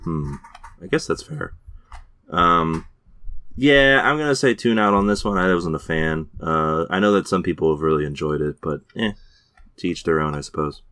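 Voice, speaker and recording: A man's voice: low-pitched, moderate pace, a bit bright, no room sound. A man co-hosting a podcast, chatting casually about TV shows with many fillers and restarts. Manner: hesitant, lots of fillers and restarts. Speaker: podcast co-host. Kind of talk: casual chat reviewing TV shows.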